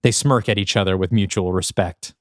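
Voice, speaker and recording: A man's voice: deep voice, fairly even intonation, fairly quick. A man narrating a scripted action audio drama with vivid present-tense description.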